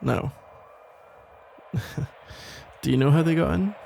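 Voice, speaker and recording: A man playing a protective, worried police officer and ex-boyfriend in an ASMR audio roleplay, talking in a halting, casual, gently exasperated way with a gravelly voice. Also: fairly high pitch, lively intonation, a little hiss or hum, heavily acted. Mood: calmer, inquisitive. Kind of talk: ASMR roleplay, conversational one-sided acting.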